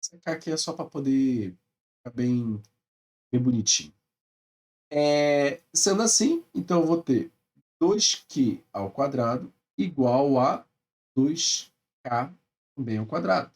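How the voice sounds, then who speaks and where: A man's voice: mid-range voice, animated, slow, pauses often, darkish tone, far from the mic. A male math teacher with a Brazilian Portuguese accent, explaining step by step in a recorded lecture and often checking in with his students.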